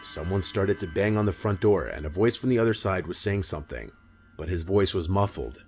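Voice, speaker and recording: A man reading a scripted scary-story narration in a measured, matter-of-fact way. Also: low-pitched, lively intonation, a little mellow. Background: music bed.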